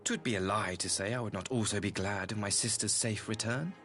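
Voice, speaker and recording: An actor playing a courteous, calculating imperial ambassador in a video game, speaking with formal, eloquent, theatrical archaic diction. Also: male voice, fairly low pitch, fairly quick, a bit bright, close to an everyday voice. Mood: polite, calculating. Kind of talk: scripted video game voice acting. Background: background music.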